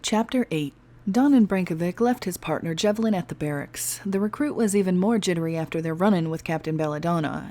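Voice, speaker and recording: A woman's voice: lowish voice, mellow. A woman storyteller reading fiction expressively and chatting casually as host of her own channel. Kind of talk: expressive audiobook narration and casual outro.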